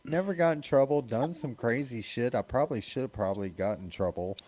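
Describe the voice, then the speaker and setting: A man in his late forties in casual, profane chat, laughing often and riding loud swings of emphasis. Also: mid-range voice, a little fast. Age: 40s. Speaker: motorcycle rider.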